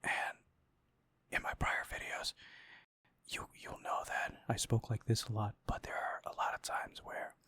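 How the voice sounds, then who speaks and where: A young man talks in a breathy, husky whisper, set close to the mic in a dry, dead space. His whisper has a bright edge. He rambles casually through an ASMR gaming chat, mocking himself and swearing as he goes. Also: on the low side, monotone, normal speed, no room sound.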